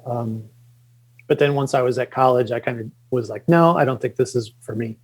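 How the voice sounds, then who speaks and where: A middle-aged man from the Chicago suburbs, a podcast guest, talking casually and haltingly with many fillers and restarts. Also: medium pitch, husky. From Chicago suburbs, Illinois. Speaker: podcast guest, comics creator. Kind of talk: casual interview talk.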